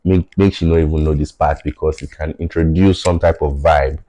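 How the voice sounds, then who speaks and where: A Nigerian man whose first language is Yoruba, a podcast guest, talking in a measured, thoughtful way and often checking in with "right?". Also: very deep voice, steady tone, mellow, no echo. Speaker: podcast guest, tech founder. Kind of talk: reflective conversational interview.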